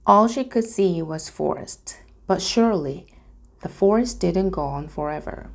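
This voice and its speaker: A woman reading a storybook aloud as narration, in a careful, deliberate manner. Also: on the low side, animated, vocal fry. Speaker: reader.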